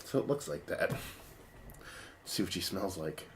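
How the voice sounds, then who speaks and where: A man casually reviewing a beer in a rambling, profane way, his voice partly lost and sitting lower than usual. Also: expressive, normal speed, fairly bright tone, a little hiss or hum.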